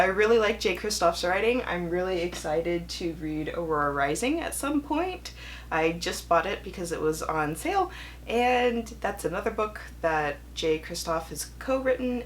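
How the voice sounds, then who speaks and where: A young woman talking casually to viewers as a book vlogger, with animated emphasis and wry, self-deprecating asides. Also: low-pitched, slight background noise. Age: young adult. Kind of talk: casual chatty video to viewers.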